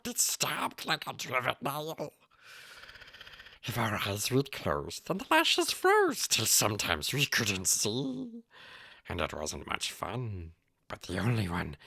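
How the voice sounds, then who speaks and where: A man performs a small, wretched creature with a gravelly, croaking rasp. The voice swings wildly from low mutters to thin whines, twitchy and strained, as it slowly recites like a dark storybook tale. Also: no room sound, studio quality, exaggerated voice. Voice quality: raspy, croaky, strained throat. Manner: talks to self in plural, erratic swoops. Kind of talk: dramatic poem recitation in character.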